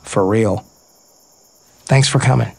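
A boyish, casual ballplayer. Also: male voice, fairly low pitch, slow, gravelly, darkish tone, breathy edge, heavily acted. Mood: nostalgic, sincere. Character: playful, sincere, boyish male baseball player. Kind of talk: naturalistic audio drama acting.

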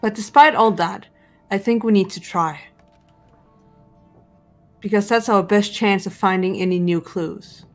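A woman's voice: medium pitch, faint music behind, natural voice. Woman playing a composed, serious teenage girl detective. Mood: calm, cautious. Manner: measured, deliberate, serious. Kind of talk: visual novel fan dub voice acting.

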